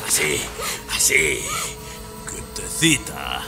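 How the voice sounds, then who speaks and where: A man's voice: mid-range voice, huge pitch swings, extremely slow, vocal fry, heavily acted. An actor playing a remorseless, leering young male serial killer in an audio drama, taunting and cold. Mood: menacing.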